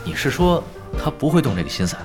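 A man's voice: fairly high pitch, sing-song, fast. An actor playing a laid-back, shameless young male pro gamer who loves money, with a nonchalant, teasing manner, in an audio drama. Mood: gleeful. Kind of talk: Chinese audio drama acting. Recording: music behind the voice.

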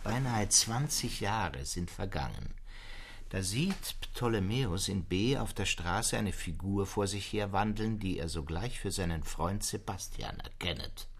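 Low husky brooding literary storyteller guy, radio drama, swings up and down a lot. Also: expressive, a little hiss or hum. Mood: animated storytelling. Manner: dramatic, deliberate, old-fashioned literary phrasing. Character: brooding, fervent literary storyteller among a circle of friends.

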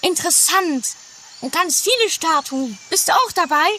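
A woman's voice: extremely high pitch, lively intonation, normal speed, pushed and bright, exaggerated voice. A woman playing a flighty, curious lady in an amateur comedy radio play, theatrical and chatty. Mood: gushing. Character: gushing, flighty, curious, easily unsettled lady. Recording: distant, faintly noisy amateur 1980s recording.

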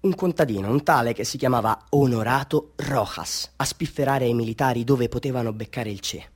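A man's voice: medium pitch, a little fast, husky, lightly acted. Boastful, chatty street photographer acted by a man. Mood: animated, wry. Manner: salesman-like, pompous, confiding, rhetorical questions. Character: boastful, chatty small-time Bolivian street photographer hustling tourists. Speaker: actor in a radio drama monologue. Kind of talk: theatrical radio monologue addressed to tourists.

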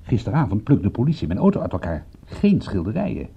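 A man playing a cynical, excitable private detective in a radio drama, speaking in a wry, emphatic, husky voice. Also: on the low side, quick pace, exaggerated voice. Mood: reasoning. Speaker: detective and narrator. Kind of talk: radio drama acting and narration. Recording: dull, muffled old radio recording.

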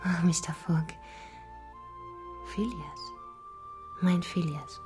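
An actress playing a gentle, devoted young woman in a radio play, speaking softly and breathily. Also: on the low side, fairly even intonation, drawn-out words, music in the background. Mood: tender. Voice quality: soft, breathy, whispery.

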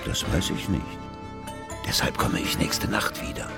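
An actor playing a proud, deliberate and evasive philosopher in a radio play. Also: male voice, music in the background, close to an everyday voice. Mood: secretive. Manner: deliberate, pondering.

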